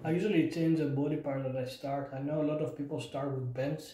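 A man's voice: fairly high pitch, fast talker, slightly rough, rough recording. A man in his thirties, a fitness vlogger, talking casually and tiredly about his home workout, with fillers and restarts. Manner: relaxed, plain-spoken, many fillers and restarts. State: tired from little sleep. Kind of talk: casual narration over workout clips. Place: small room at home, indoors.

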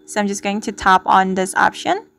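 A woman presenting a step-by-step software tutorial as the host. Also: medium pitch, expressive, normal speed, vocal fry. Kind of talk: spoken screen-recording tutorial.

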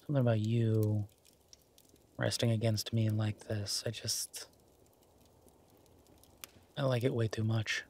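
A man playing an affectionate, laid-back werewolf boyfriend in intimate roleplay audio, gentle and halting, with a husky, breathy voice. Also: fairly low pitch, level tone, a little hiss or hum. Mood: tender, content. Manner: gentle, halting, murmured with long pauses. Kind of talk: intimate roleplay audio, slow soft conversational acting.

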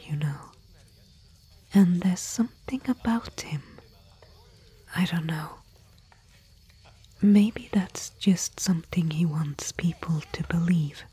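Breathy, whispering bookish woman traveler, acted by a woman. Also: on the low side, full character voice. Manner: gentle, musing, deliberate. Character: thoughtful bookish female desert expedition companion. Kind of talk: soft-spoken ASMR roleplay.

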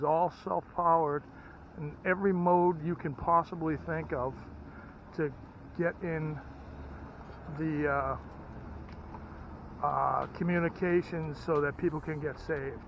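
Muffled, higher-pitched American man vlogging outdoors. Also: slight background noise. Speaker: vlogger and ham radio hobbyist. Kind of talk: casual vlog narration. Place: outdoors at a fairground. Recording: muffled outdoor camera audio.

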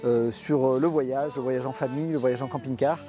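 A man's voice: medium pitch, steady tone, brisk, warm tone, soft background music. An older man hosting a camper van vlog, talking outdoors by a motorhome on a lapel mic, with many hesitations. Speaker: camper van channel host. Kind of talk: casual vlog presenting and interviewing. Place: outdoors by a motorhome at a trade show car park.

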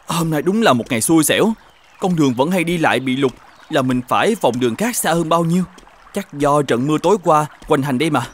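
An actor playing a good-natured, simple peasant farmer in an animated fairy tale, earnest and polite. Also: male voice, on the high side, brisk, natural voice. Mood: grumbling. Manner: earnest, polite, slightly naive. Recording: music behind the voice.

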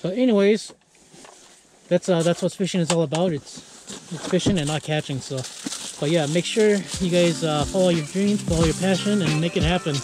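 A man's voice: fairly high pitch, a little fast, noisy background. A man chatting casually as host of a fishing vlog, easygoing and encouraging. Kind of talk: casual outdoor vlog chat. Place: frozen lake and ice fishing tent.

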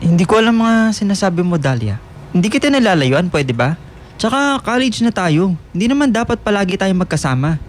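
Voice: medium pitch, big pitch swings, a little fast, slight background noise, natural voice. A performer playing an aloof, irritated young male college student in a radio drama, curt and dismissive. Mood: cool, dismissive. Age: young adult. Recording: music bed under the voice.

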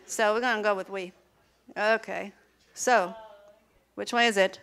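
A woman's voice: medium pitch, steady tone, a bit bright. A woman whose first language is German, giving a chatty conference workshop to teachers in a large lecture hall, quizzing the audience and laughing between points. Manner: chatty, laughing asides, quick questions to the audience.

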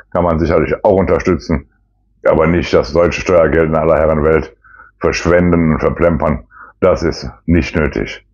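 Guy in his 50s w really deep voice, grumbling bluntly about politics to camera, kinda dull lo-fi audio. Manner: blunt, colloquial, disapproving. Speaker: politician hosting a weekly news commentary. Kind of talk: informal political commentary to camera. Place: small plain indoor room.

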